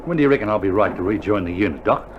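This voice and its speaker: An actor playing an eager, loyal wounded Australian soldier in a radio drama, talking in an earnest, colloquial way. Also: male voice, on the low side, lively intonation, racing, muffled recording, close to an everyday voice. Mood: earnest, admiring. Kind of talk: recorded radio drama acting. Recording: vintage vinyl recording, faint noise.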